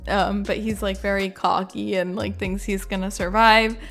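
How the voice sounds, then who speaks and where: A woman's voice: medium pitch, little pitch movement, normal speed, gravelly, fairly bright tone, soft background music. A woman giving informal, rambling reaction commentary, sprinkling in "like" as she goes. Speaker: video creator and game lore reviewer.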